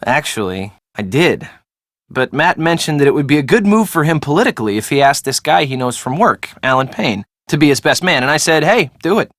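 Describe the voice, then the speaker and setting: A man's voice: a little high, sing-song, brisk, fairly bright tone, dry recording, clean studio sound. An actor playing a defensive, glib young American man in casual, conversational video game dialogue. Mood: matter-of-fact.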